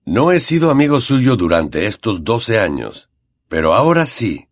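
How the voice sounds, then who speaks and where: A man voicing a weary, gentle male teacher who is secretly a werewolf, speaking quietly and calmly. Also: fairly low pitch, measured pace, no room sound, clean studio sound, heavily acted. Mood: calm pleading. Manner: quiet, calm, soothing.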